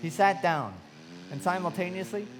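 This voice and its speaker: A man's voice: high-pitched, normal speed, close to an everyday voice. A man acting in a live radio play as a frank, observant young narrator telling his memories in a theatrical way. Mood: reflective, descriptive. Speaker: narrator and actor. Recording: long hall reverb, clear background noise.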